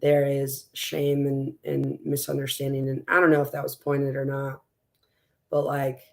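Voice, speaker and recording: Woman w a raspy strained voice, rambly, lots of ums, just chatting as an interview guest. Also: rumbling low, flat delivery, a little slow, darkish tone. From West Texas. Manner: rambling, many fillers and restarts. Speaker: school board candidate, guest. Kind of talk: casual conversational interview.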